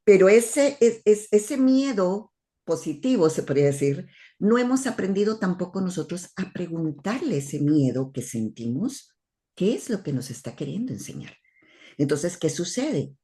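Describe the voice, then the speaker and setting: A Guatemalan woman hosting a relaxed talk, with a low and mellow voice. She speaks haltingly and repeats words while she gathers her thoughts. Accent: Guatemalan Spanish. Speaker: host. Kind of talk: casual conversation.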